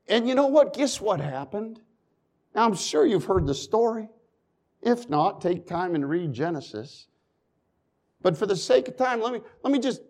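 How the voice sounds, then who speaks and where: Dynamic male preacher, fairly high voice. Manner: dynamic, swings from loud emphasis to quiet asides; reads scripture in old-fashioned phrasing. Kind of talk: sermon.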